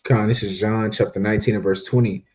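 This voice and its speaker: A man's voice: on the low side, steady tone, fast, darkish tone, highs cut off. A man co-teaching a bible study, explaining history at length from his notes. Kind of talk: casual teaching talk.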